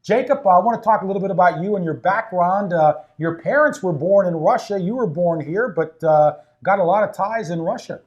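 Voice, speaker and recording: A man's voice: sits high, fairly quick, few pauses, dull audio. A man hosting a casual sports interview in a coach's office at an ice rink, upbeat and chatty with fillers. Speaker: team broadcaster and host.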